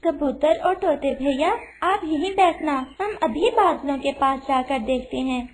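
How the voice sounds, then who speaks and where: A kind little female sparrow from a children's cartoon, played by a woman. She speaks in a high, polite, earnest voice, with faint background noise. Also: unhurried. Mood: earnest, coaxing. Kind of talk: Hindi children's cartoon voice acting.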